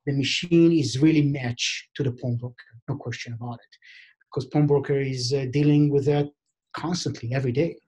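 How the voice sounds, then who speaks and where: A middle-aged man with an Israeli accent speaks English on a webcam call from an office, at a medium pitch for a man. He talks in a halting way, stopping often with fillers and restarting his sentences as he looks for the words. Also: steady tone, dark tone, slightly rough. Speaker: diamond expert and vendor. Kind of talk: video call interview. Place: office indoors. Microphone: video call webcam.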